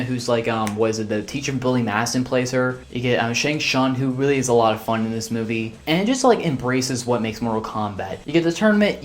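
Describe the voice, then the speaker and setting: A man's voice: mid-range voice, slight background noise. A man giving a casual, rambling spoken movie review as a video reviewer, opinionated and conversational.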